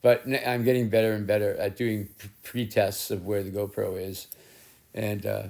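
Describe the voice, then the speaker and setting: A middle-aged male artist with a rough, raspy voice, casually narrating his work in a rambling, self-deprecating way with frequent restarts. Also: on the low side, level tone, moderate pace, a little mellow. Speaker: collage artist and painter. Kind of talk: casual narration while working. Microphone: head-mounted action camera.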